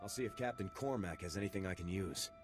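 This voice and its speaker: Terse heroic lieutenant for a game male actor, fast-talking and low, matter-of-fact, music behind. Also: natural voice. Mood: businesslike. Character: resolute, terse male army lieutenant, the hero. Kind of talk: video game cutscene acting.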